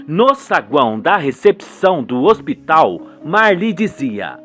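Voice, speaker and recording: A man's voice: fairly high pitch, sing-song, takes it slowly, fairly bright tone, soft background music. A man with a Brazilian accent reading dramatic scripted narration for a radio soap.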